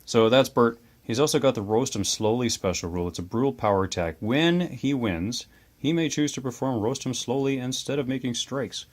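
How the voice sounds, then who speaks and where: A man hosting a tabletop wargame show, chatting casually and enthusiastically about models, dice and rules. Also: mid-range voice. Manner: chatty, enthusiastic, quick asides. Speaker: host of a tabletop wargame channel.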